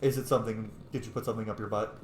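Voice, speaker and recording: A man's voice: fairly low pitch, fairly even intonation, rapid-fire. A man hosting a game playthrough with casual, playful, sweary commentary. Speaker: gaming video host. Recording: echoey room, faint noise.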